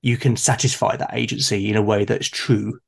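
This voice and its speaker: A man's voice: fairly low pitch, flat delivery, normal speed, creaky. A man talking as a game master in an unscripted discussion, hesitantly repeating words as he forms his thoughts.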